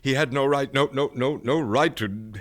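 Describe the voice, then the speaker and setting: A man's voice: medium pitch, moderate pace, fairly bright tone, close to an everyday voice. An actor in a radio drama playing an anxious, domineering professor father, speaking in an urgent, stammering, commanding way. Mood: agitated, pressuring. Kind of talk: 1970s radio drama acting.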